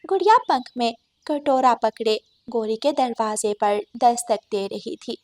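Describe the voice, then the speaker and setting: Casting: female narrator for kids' animated tales, fairly high pitch, unhurried delivery. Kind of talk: scripted children's story narration.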